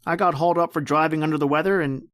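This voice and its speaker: A man's voice: on the high side, steady tone, very fast, a bit bright, no room sound, studio quality, close to an everyday voice. A man playing a shifty, self-serving young friend who talks evasively, in an old-time radio drama style. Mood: defensive, sheepish.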